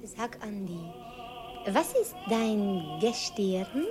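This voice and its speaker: An actress playing a sweet, naive young country girl with a Hungarian accent and halting, artless speech in a 1950s radio play. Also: mid-range voice, expressive, music in the background. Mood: tender, dreamy. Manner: halting, artless broken German.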